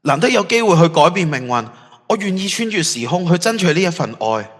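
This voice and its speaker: Man acting torn young man, Hong Kong Cantonese accent, earnest, remorseful, highish voice, long reverb, audio drama. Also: sing-song, fairly quick, a bit bright. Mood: reflective. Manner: introspective, earnest, emotional.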